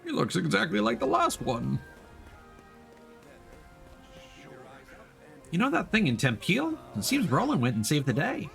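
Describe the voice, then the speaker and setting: A man's voice: high-pitched, huge pitch swings, fairly quick, gravelly, a bit bright, pitched above the performer's own voice, heavily acted. A man voicing chatty, dramatic townsfolk from a fantasy video game, reading their dialogue aloud with exaggerated delivery. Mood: gossipy. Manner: theatrical, exaggerated. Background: video game music.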